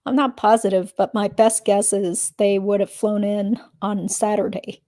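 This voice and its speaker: A woman's voice: medium pitch, level tone, unhurried, mellow, no echo, clean studio sound, close to an everyday voice. A woman reading the part of a guarded witness testifying in a legal deposition. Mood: guarded, matter-of-fact.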